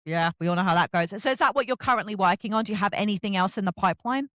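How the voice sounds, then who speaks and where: A woman's voice: medium pitch, rapid-fire, fairly bright tone, no room sound, studio quality. A woman hosting a casual interview, chatty and effusive, often acting out little bits of dialogue. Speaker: podcast host.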